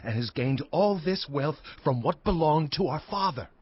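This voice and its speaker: A man's voice: fairly high pitch, lively intonation, moderate pace, husky, highs cut off. An actor playing a resentful grown son of a wealthy herdsman in a dramatized audio Bible.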